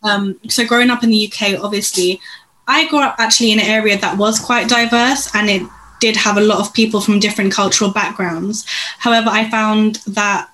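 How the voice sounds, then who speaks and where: A young woman in her twenties, an illustrator being interviewed, who answers thoughtfully and lists her ideas with small pauses. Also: mid-range voice, fairly even intonation, fairly bright tone, rough recording. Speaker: illustrator, podcast guest.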